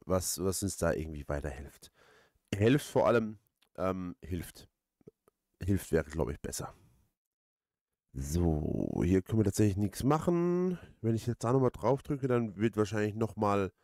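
A man giving casual gaming commentary, halting and repeating words as he rambles. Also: on the low side, a little mellow, no echo, clean studio sound. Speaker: let's play host.

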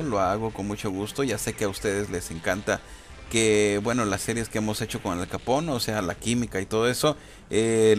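A man chatting informally and hesitantly as a YouTuber, using slang and repeating himself. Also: lowish voice. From Guatemala. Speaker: YouTuber answering viewer questions. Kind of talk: casual chat over gameplay footage. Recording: clear background noise.

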